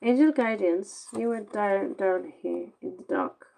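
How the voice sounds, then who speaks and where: A tired woman with a Norwegian accent, talking slowly through a late-night tarot reading. Her voice is gravelly with plenty of fry. She rambles bluntly and sarcastically in her second language. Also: medium pitch, mellow, rough recording. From Norway. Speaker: tarot reader.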